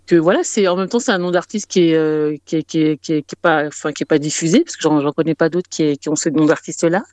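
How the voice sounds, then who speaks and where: A woman's voice: low-pitched, steady tone, quick pace, a little mellow, no echo. A woman music artist giving conversational interview answers, often searching for her words and repeating herself.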